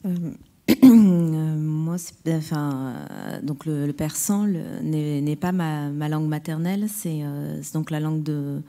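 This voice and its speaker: A woman poet at a round-table discussion, speaking reflectively and pausing to find her words. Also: deep voice, dark tone.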